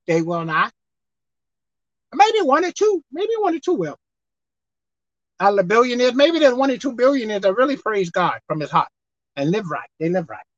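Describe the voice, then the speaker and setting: A woman preaches a sermon in a high, swooping voice that rises and drops sharply. She works the congregation with call-and-response and acts out dialogue as she goes. The recording is dull and dry. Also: no echo.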